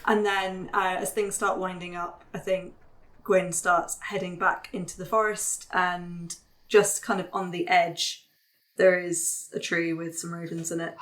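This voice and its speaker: A woman's voice: on the low side, rough recording. A woman casually describing her character's actions as a tabletop player, with loose fillers. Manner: relaxed, with fillers like "like" and "kind of".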